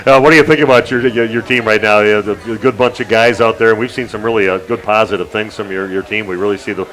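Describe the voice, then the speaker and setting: Halting, low-voiced radio host. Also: male voice, fairly bright tone, poor audio. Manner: halting, many fillers, joking. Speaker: radio sports show host. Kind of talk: casual interview chat.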